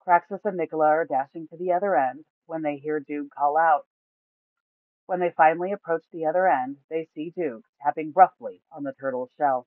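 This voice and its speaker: A woman's voice: low-pitched, normal speed, no echo, narrow, tinny audio. A woman reading a scripted fantasy adventure story as its narrator.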